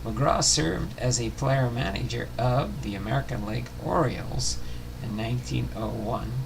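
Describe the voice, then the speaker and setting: Gravelly man reading aloud haltingly. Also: medium pitch, background noise. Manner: halting, stumbles over words and rereads. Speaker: host of a baseball history series. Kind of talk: reading a baseball biography aloud.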